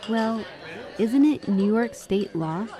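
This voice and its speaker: An actress playing a pointed, quick-witted estranged wife in a radio drama, direct and needling. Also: female voice, fairly high pitch, animated, mellow, a faint crowd. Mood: insistent.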